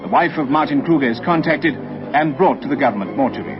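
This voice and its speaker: A man's voice: medium pitch, lively intonation, brisk, close to an everyday voice. A man narrating a radio crime drama in a formal, factual manner. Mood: grave, factual. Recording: dull old recording, music behind.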